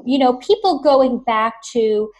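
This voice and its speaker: A woman hosting a podcast, asking a guest about her business and agreeing warmly. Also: fairly high pitch, slow pace. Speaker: podcast host. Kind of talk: interview questions.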